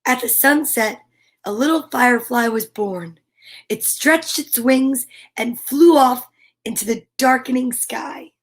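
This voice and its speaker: A woman's voice: a little high, measured pace. A woman hosting a children's storytime, reading a picture book aloud expressively with chatty asides. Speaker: storyteller and host.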